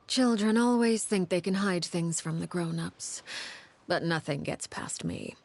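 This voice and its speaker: An actress playing a cold, menacing female leader of a secretive house in a video game, speaking formally and with measured poise. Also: lowish voice, vocal fry. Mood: sly, composed. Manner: measured, formal, veiled threats.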